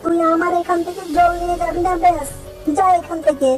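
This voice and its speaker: A woman's voice: extremely high pitch, fairly even intonation, fairly quick, over music. A woman voicing a snobbish village woman who scolds harshly and contemptuously. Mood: scornful.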